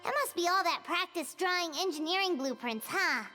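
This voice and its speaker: A woman's voice: very high voice, lively intonation, a little fast. A woman voicing a tiny, childlike fairy companion in a video game, bubbly and full of exclamations. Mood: curious, amazed. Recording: hall-like reverb. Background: playful music.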